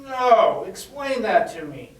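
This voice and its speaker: A distant, echoey recording of a man acting as a grudging shop owner on the witness stand. The speech is slow and evasive, in the manner of a puppet courtroom re-enactment. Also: high-pitched, very animated, slight background noise, heavily acted. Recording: echoey room, distant mic.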